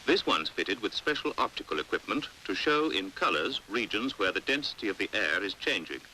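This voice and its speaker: A British man reads scripted 1950s educational film narration with careful precision. His voice is fairly low yet very bright and forceful, with lively rises and falls as he explains. The old film soundtrack carries a faint hiss. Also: low-quality recording. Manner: measured, precise, didactic. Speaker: documentary narrator.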